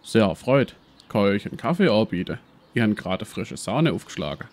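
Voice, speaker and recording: Man playing a deep Swabian professor. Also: very animated, fairly quick, a little mellow. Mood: self-important. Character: brash, shady male history professor and treasure hunter. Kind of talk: parody detective radio play.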